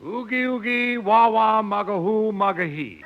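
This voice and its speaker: A man's voice: sits high, expressive, a little slow. A man playing a mighty hunter chief in a 1950s radio comedy, jabbering in comic nonsense syllables. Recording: muffled noisy old radio recording.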